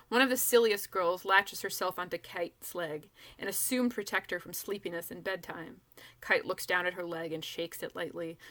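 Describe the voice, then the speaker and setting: A woman reading a first-person novel aloud as an author, narrating expressively and acting out the dialogue. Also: on the low side. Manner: animated, acts out dialogue.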